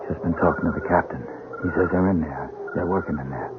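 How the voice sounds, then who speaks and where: A man playing a gruff, commanding police officer on a raid, speaking in clipped phrases in a radio drama. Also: extremely low pitch, fast talker, narrow, tinny audio. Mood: low, watchful. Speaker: actor in a radio mystery drama. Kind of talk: 1970s-style radio drama acting. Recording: noisy, band-limited old radio broadcast.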